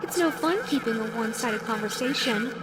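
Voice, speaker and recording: A woman's voice: on the high side, animated, a little fast, noisy background, natural voice. A woman voicing a flirty, menacing female animatronic fox in an audio roleplay, playful, coy and teasing. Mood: playful menace. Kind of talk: audio roleplay addressed to the listener. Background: music.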